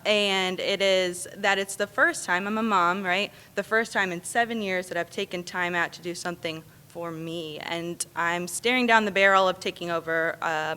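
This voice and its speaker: A woman's voice: on the low side, bright, forceful tone, smooth. A woman earnestly giving an informal short speech to a group as a class participant. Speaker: participant in a speaker training class.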